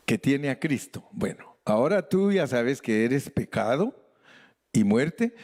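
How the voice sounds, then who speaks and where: A man preaching a sermon in Spanish as pastor, animated with playful asides, occasionally switching into English as a non-native speaker. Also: medium pitch. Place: church sanctuary.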